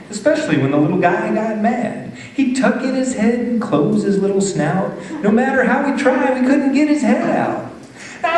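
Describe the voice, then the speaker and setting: A man with a folksy Texan drawl giving a playful, dramatic live poetry reading for children and families. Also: on the high side. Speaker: storytelling poet performer. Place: live reading in an echoey room. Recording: echoey room, distant mic, faint noise.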